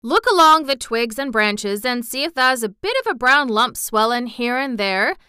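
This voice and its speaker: A woman doing a gruff old gardener. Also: a little high, lively intonation, normal speed, sharp tone, no room sound, clean studio sound. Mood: grumbling. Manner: gruff, grumbling, blunt. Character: grumpy old male Yorkshire gardener.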